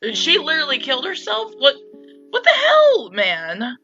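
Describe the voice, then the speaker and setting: Female let's play host, bright forceful voice, casual and excitable, music underneath. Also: high voice, a little slow, lo-fi sound. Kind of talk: casual gaming commentary and reading aloud.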